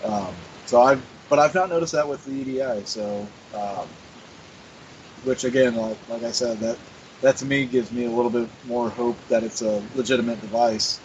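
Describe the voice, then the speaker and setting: A man's voice: mid-range voice, steady tone, normal speed. Hesitant man guesting on talk radio. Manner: hesitant, full of "like" and "uh". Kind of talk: casual talk radio conversation. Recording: dull lo-fi sound with faint hiss.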